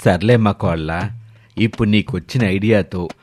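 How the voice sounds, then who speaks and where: A man voicing an older father-in-law in a story, calm and reasonable, with a measured, mildly reproving manner. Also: low voice, voice pushed down. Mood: patient, curious. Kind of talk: animated moral story dialogue.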